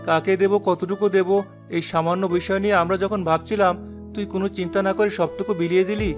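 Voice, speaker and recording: Man voicing a humble high-voiced village father. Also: steady tone, fast talker, faint music behind, close to an everyday voice. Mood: moved. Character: poor, humble, devout village father, gentle and accepting. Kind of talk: cartoon moral drama dialogue.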